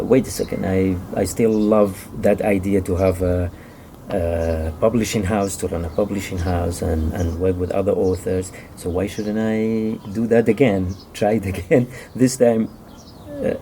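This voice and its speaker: A middle-aged man with a Kurdish accent answers interview questions, often halting to find his words. His voice is low and gravelly, with slight noise behind it. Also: warm tone. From Iraqi Kurdistan. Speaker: author and publisher, interview guest.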